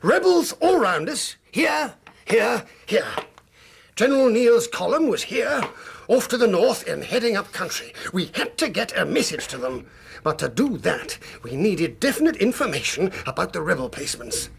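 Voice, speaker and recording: An actor playing a proud, blustering older English colonel in a radio drama, with a hearty, pompous military manner of telling things. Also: male voice, sits high, very animated, moderate pace, creaky, slight background noise, full character voice. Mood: hearty, boastful. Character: proud, blustering older British army colonel, doting husband.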